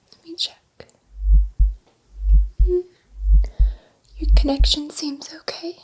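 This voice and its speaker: A gentle alien scientist, played by a woman, whispers through an ASMR roleplay in a high, feminine voice. She is curious and soothing, taking her time and softly repeating reassurances to her human test subject. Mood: coaxing, patient. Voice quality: soft, breathy whisper.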